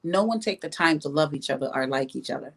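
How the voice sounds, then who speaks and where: A woman's voice: deep voice, animated, fast, gravelly. A woman from Jamaica giving opinions in a podcast discussion, speaking emphatically and repeating phrases to drive them home. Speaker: podcast guest.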